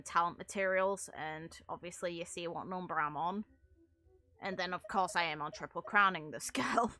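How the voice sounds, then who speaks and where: A woman's voice: lowish voice, bright, forceful tone. A young adult woman giving casual, rambling game commentary in an informal, amused way, as a streamer.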